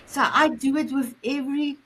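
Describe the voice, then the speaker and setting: A woman with a South African Afrikaans accent hosting a talk show in a gushing, affectionate, halting way. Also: high-pitched, steady tone, a bit bright. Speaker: show host.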